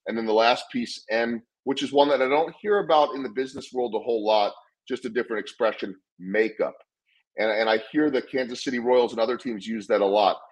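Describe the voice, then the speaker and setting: A middle-aged man with a husky, slightly breathy voice at an ordinary pitch. He talks earnestly as an interview guest, telling anecdotes and laying out ideas. He often restarts, repeating words. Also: fairly quick, poor audio. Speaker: guest speaker on leadership. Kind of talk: conversational interview storytelling.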